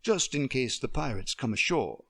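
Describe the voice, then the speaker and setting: A man reading a story aloud, voicing a kind, playful young woman who talks gently and plays along with a child's pretend game. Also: medium pitch, big pitch swings, moderate pace, vocal fry, slightly rough, dry recording, clean studio sound, full character voice.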